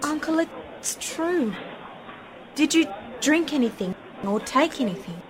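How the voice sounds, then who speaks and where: Woman acting an inquisitive, high-voiced headstrong niece. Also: lively intonation, measured pace, a crowd in the background. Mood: questioning. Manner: questioning, exasperated. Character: inquisitive, headstrong niece, a Martian historian companion. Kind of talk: amateur radio play acting. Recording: noisy home-made radio play recording.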